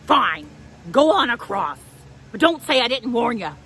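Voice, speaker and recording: A woman's voice: on the high side, big pitch swings, measured pace, sharp tone, husky, full character voice. A woman voicing a grumpy, hungry bridge troll in a children's story, with blustering, demanding speech. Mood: grudging.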